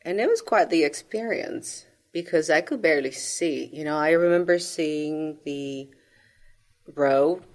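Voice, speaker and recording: Salvadoran woman, low-voiced, chatty guest. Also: a little slow. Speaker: guest, coffee business owner. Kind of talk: radio interview conversation.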